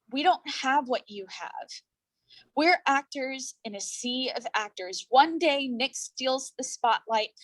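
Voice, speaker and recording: Young woman, somewhat high-pitched. An actress playing a guarded, stubborn performer; blunt, defensive, stage drama delivery. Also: fairly bright tone, poor audio. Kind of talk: staged reading of a drama play.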